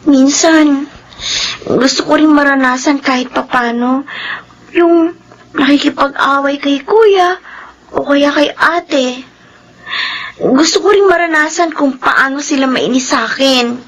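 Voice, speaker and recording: A woman playing a gentle, girlish blind youngest daughter in a Filipino radio drama, polite and soft-spoken. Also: high-pitched, slow, pauses often, low-quality recording. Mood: sad, longing.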